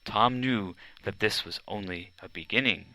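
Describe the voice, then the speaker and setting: A man narrating a children's biography from a script, in measured, deliberate storytelling phrases. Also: fairly low pitch, sharp tone, no echo, rough recording. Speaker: audiobook narrator.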